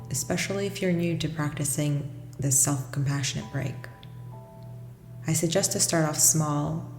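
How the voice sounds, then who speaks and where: A woman with a low voice guides a meditation over music, in long, washy reverb. She gives gentle, scripted instructions and leaves generous pauses between them. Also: vocal fry. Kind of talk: guided meditation, slow soothing scripted narration.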